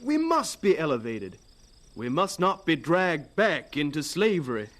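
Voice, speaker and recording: An actor playing a pompous, bookish young college student with stilted, precise diction in a radio drama. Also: male voice, a little high, sing-song, measured pace, fairly bright tone. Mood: indignant, lofty.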